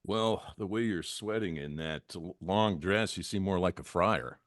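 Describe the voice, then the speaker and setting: A man's voice: low voice, unhurried, no room sound, clean studio sound. A man playing a cheeky, wisecracking housemate, joking mischievously in a comedy table read.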